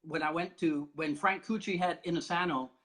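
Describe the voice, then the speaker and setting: A man from Barbados hosting a casual conversation, talking in an animated, chatty way and laughing often. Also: a little high, normal speed. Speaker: host.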